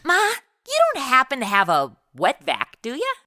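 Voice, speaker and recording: A woman's voice: on the high side, wildly swooping pitch, moderate pace, sharp tone, heavily acted. A woman playing a sarcastic modern party girl in an audio comedy, slangy and blasé, acting and narrating. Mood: hungover, offhand. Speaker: lead character and narrator.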